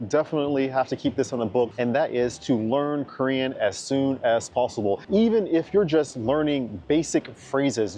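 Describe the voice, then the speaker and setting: A man's voice: mid-range voice, a little mellow, a little hiss or hum. A man in his thirties from the United States, an English teacher talking casually to camera, chatty and repeating words for emphasis. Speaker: vlogger.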